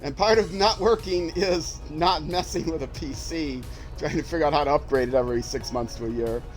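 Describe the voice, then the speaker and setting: A man's voice: a little high, brisk, soft background music, rough recording. An older retired man with a young-sounding voice, chatting casually and rambling with many fillers as he streams a game. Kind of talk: casual livestream commentary while playing.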